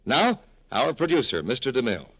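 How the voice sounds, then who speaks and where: A man's voice: mid-range voice, sing-song, brisk, fairly bright tone, no room sound, highs cut off. A man working as a radio announcer, giving scripted sponsor banter in a polished, folksy 1940s sales style on an old broadcast recording.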